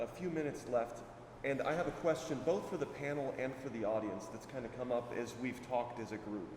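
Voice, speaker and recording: A man's voice: mid-range voice, distant mic. A man moderating a conference panel, asking questions hesitantly with fillers. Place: large conference hall. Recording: echoey hall from off-mic, room noise.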